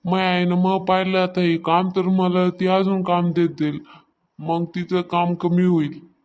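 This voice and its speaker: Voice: mid-range voice, little pitch movement, a little mellow, close to an everyday voice. A performer voicing a mischievous little boy in a cartoon, whining and pleading. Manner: whining, drawn-out pleas. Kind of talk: Marathi comedy cartoon dialogue.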